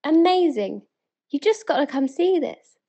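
Eager, high-voiced British townswoman acted by a woman. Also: measured pace. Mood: excited, insistent. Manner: earnest, excited, pleading. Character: eager, wonder-struck townswoman of ancient Bethlehem. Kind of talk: dramatic spoken-word verse reading.

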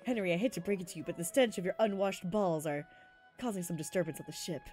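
A woman's voice: fairly low pitch, expressive, quick pace, darkish tone, soft background music, voice pushed down, close to an everyday voice. A woman voicing a smug, laid-back stoner ladies' man in a game roleplay, drawling and unbothered. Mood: smug, calm.

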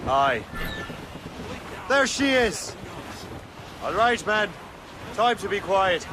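An actor in a video game playing a confident, brooding assassin ship captain with a cocky, resolute manner. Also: male voice, sits high, sing-song, extremely slow, background noise, heavily acted. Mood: commanding. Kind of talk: dramatic video game acting.

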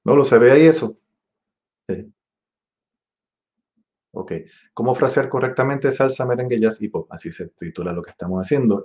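A man in his forties with a Puerto Rican accent, teaching an online music masterclass in a relaxed, chatty way, singing rhythms with syllables. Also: mid-range voice. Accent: Puerto Rican Spanish. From Puerto Rico. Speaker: trumpet teacher. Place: room with green wall. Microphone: webcam at desk. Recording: dull lo-fi webcam audio.

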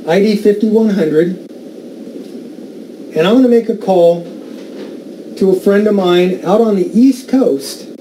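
An American man with a mellow, slightly high voice gives a casual demonstration. He talks very slowly, pausing between phrases, with faint noise behind him. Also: rough recording. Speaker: amateur radio YouTuber. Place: indoors at a desk.

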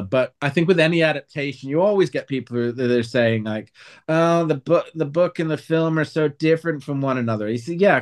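A man talking as an author guest in a conversational interview, earnest and wordy with a wry streak. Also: medium pitch.

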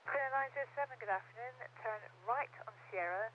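A man's voice: extremely high pitch, fast. A man working as a ground controller, giving polite, procedural instructions in clipped radio phrases. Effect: radio transmission. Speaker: air traffic ground controller. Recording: dull, faintly noisy radio.

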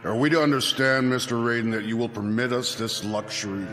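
An actor playing an older army colonel who speaks curtly and makes demands, in a radio drama. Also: male voice, mid-range voice, brisk, a bit bright, big-room sound, faint music behind, lightly acted. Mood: demanding. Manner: curt, demanding.

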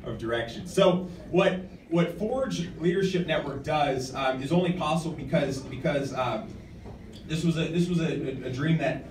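An American man speaks at a podium in an echoey function room, recorded from out in the audience with clear noise around him. His delivery is informal and halting, as he restarts phrases and repeats words. His voice sits a little high for a man. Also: far from the mic. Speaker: award recipient and nonprofit director giving an acceptance speech. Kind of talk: informal acceptance speech. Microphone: podium microphone, captured by a camera in the audience.

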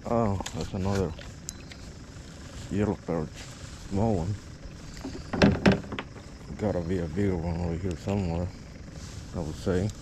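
A man drifts in a kayak and talks in an unhurried, lowish voice. He breaks into excited exclamations and chats to the fish. The action-camera audio carries heavy outdoor water and wind noise. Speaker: angler filming himself. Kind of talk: casual running commentary while fishing. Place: outdoors in a small kayak on a river. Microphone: head-mounted action camera.

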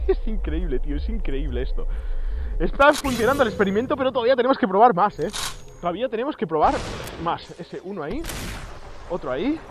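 A man in his thirties with a Spanish accent from Spain, giving excited commentary while playing a virtual reality game outdoors, exclaiming and laughing at his viewers. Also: high-pitched, a little hiss or hum. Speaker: VR gaming youtuber. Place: outdoors on an empty road at dusk. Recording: music under voice. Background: background music.

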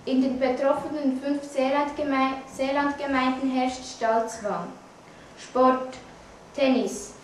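Young swiss german girl reading the news, high voice, stumbles a bit, recorded far from the mic. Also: steady tone, slow pace. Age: teenager or young adult. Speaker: student news reader. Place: classroom, indoors. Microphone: camera mic at a distance. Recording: echoey room, distant mic, faint noise.

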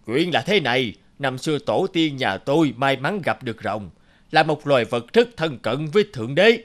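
A man voicing a placid, hardworking water buffalo, calmly explaining himself in a cartoon folk tale. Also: medium pitch, normal speed, close to an everyday voice. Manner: calm, explanatory.

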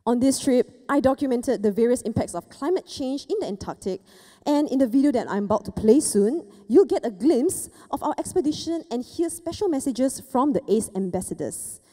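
Young woman, formal stage speech, mellow tone, long hall reverb, light music under. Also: high-pitched, expressive, normal speed. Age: young adult. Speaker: youth speaker at an event. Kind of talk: scripted speech read from notes. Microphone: handheld mic.

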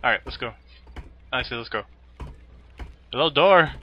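A man's voice: medium pitch, expressive, moderate pace, dull audio. A man giving casual let's play commentary as host, with offhand asides to the game. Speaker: gaming video host.

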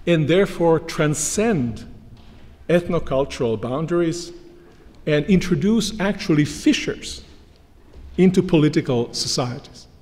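A middle-aged man giving an academic lecture from a lectern, measured and emphatic. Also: fairly high pitch, expressive, darkish tone. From Croatia. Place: large lecture hall, standing at a lectern. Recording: long hall reverb from lectern mic.

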